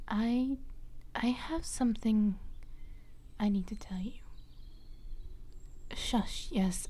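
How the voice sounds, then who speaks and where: Girl doing a slow breathy vampire companion for asmr roleplay, mellow n half whispered, theatrical, fond, kinda hesitant. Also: fairly high pitch. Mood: nervous, hesitant. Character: dramatic, flustered, affectionate female vampire companion.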